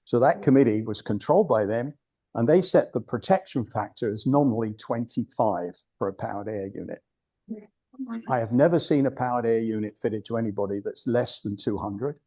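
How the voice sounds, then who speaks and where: A man's voice: medium pitch, brisk, dark tone. An older British man giving an informal talk to a club, blunt and digressive, often restarting his sentences. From United Kingdom. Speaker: guest speaker at a club. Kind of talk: informal presentation.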